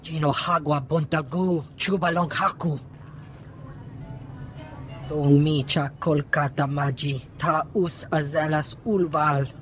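A man's voice: on the high side, fairly even intonation, takes it slowly, fairly bright tone. A man voicing a small, jumpy alien lookout in a video game, chattering in alien gibberish. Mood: nervous. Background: music.

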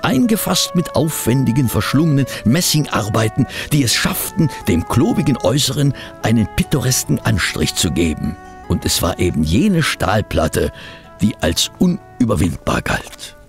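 A man playing a genteel, curious gentleman companion and narrator in a German radio play, expressive and articulate. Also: medium pitch, normal speed, creaky, soft background music. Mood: measured, descriptive.

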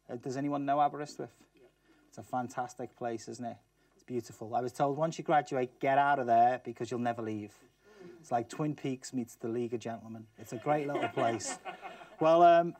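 A man's voice: mid-range voice, a little mellow, no echo. A middle-aged man from Liverpool with a Liverpool accent, giving a chatty talk to students and asking them questions. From Liverpool, England. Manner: chatty, asks the audience questions. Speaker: guest speaker. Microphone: far camera mic, no mic seen. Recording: distant, dry studio sound.

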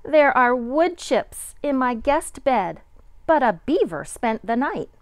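A middle-aged woman, a kindergarten teacher, reading a picture book aloud to young children with deliberate, sing-song dramatic emphasis. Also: high-pitched, big pitch swings, dry recording.

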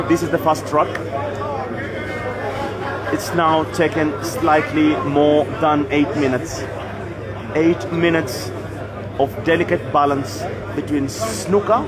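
High-voiced Kenyan man doing pool commentary. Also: steady tone, slow, long pauses. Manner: chatty, greets viewers by name between shots. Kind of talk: live sports commentary for a stream. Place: pool tournament venue in Nairobi. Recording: loud crowd noise all around. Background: loud crowd.

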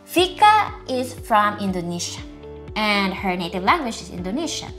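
A woman's voice: mid-range voice, sing-song, normal speed. Young woman explaining to camera over music. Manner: plain, earnest explaining in simple English. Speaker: host and language teacher. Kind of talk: presenting to camera. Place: small living room set with sofa. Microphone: clip-on lapel mic.

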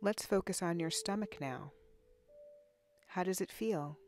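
A woman leading a guided body scan meditation in a soothing, unhurried, instructional way with long pauses. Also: fairly low pitch, over music. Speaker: guided relaxation narrator, counselor.

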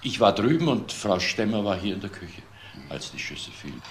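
A hesitant manservant in a crime radio drama. The voice is deep and crackles with fry, explaining deferentially over a faint bed of noise. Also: male voice, sing-song, normal speed. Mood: hesitant, explanatory. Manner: hesitant, repeats words, explanatory. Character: male household servant and caretaker, deferential, hesitant.

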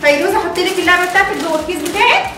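A woman's voice: very high voice, racing. A young woman with an Egyptian Arabic accent chatting casually and animatedly with children as host of a family vlog, often checking they agree. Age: young adult. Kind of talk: casual excited chat with children. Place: indoor living room. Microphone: camera a few metres away. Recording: echoey room, distant mic, loud noise.

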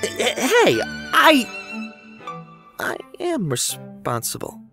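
A woman playing an anxious, flippant teenage schoolboy in an audio drama, stammering and excitable. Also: high-pitched, takes it slowly, soft background music, full character voice. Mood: defensive, uneasy. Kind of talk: scripted audio drama acting.

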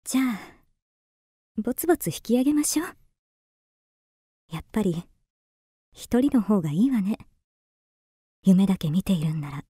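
A woman's voice: medium pitch, lively intonation, normal speed, pauses often, warm tone, slightly rough, dry recording, clean studio sound. An actress playing a lonely, dreamy woman lost in romantic fantasy, with polite, theatrical delivery in a radio drama. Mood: resigned.

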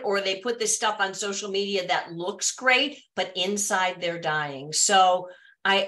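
A middle-aged woman hosting a podcast interview over a video call, speaking in an encouraging way. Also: medium pitch. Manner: warm and encouraging. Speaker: podcast host. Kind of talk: casual interview talk. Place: home office with bookshelves.

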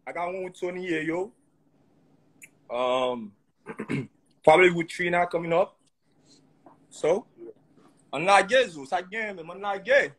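A man with a Haitian accent joins a livestream by phone video call. His voice is fairly high, bright and a bit raspy, and he talks in a hyped way, sliding between English, Creole and French. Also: a little slow, long pauses, rough recording. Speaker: guest rapper on a livestream. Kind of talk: casual livestream chat.

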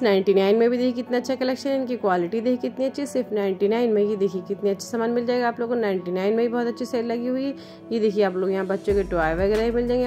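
Woman vlogger rattling off prices in a shop, highish voice, soft music under it, phone audio. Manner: brisk, repetitive, upbeat salesy patter addressing viewers. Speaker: shopping vlogger. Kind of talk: store walkthrough with prices. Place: indoor retail store aisles.

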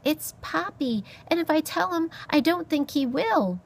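A woman's voice: expressive, unhurried, creaky, full character voice. A woman voicing a small, timid young female creature in a storybook, plaintive and pleading. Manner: high, plaintive, stammering pleas.

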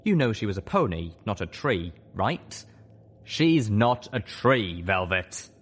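A man voicing a steady, matter-of-fact male dragon in an audiobook story. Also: lowish voice, slow, breathy edge, heavily acted. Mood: puzzled, certain.

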